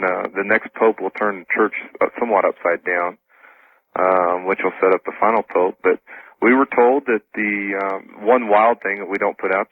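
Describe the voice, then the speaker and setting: Low male radio guest, phone-like sound. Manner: halting, informal, explanatory. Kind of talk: casual interview talk. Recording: tinny phone-like radio broadcast.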